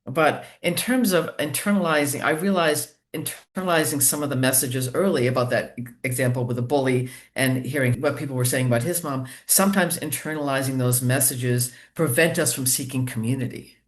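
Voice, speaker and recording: A man interviewing an author, asking long, earnest questions with many pauses and restarts. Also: mid-range voice.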